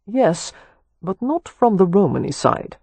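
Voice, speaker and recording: A reader voicing a wry, guarded young man who talks in a calm and dryly ironic way. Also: mid-range voice, a little slow, gravelly, no room sound, pitched above the performer's own voice, exaggerated voice. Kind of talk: audiobook character reading.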